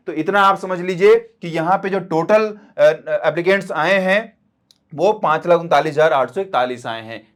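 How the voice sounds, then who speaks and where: A man teaching as an exam coach, explaining step by step to students and often repeating key points with short check-in tags. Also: a little high.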